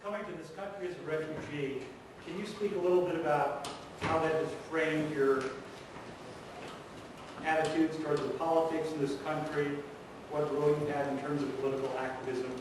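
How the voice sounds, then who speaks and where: A man in the audience asks a measured, formal question, his voice slightly raised in pitch. He is far from the microphone, in a long-echoing hall with audible room noise behind him. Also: steady tone. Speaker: audience member asking a question. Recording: distant voice in a reverberant hall with background noise.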